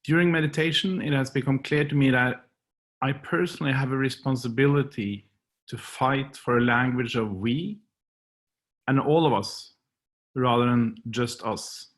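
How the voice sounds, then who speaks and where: A man from Norway whose first language is Norwegian, giving a slow, measured and earnest prepared speech as a guest speaker. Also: mid-range voice. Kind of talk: prepared speech and poetry reading.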